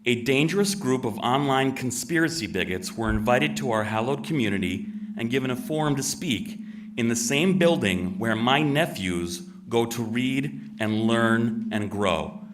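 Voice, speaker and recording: A deep-voiced man reading an earnest, deliberate public comment at a town meeting. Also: animated, recorded from a distance. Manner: earnest, deliberate prepared statement. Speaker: resident giving public comment. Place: town hall meeting room.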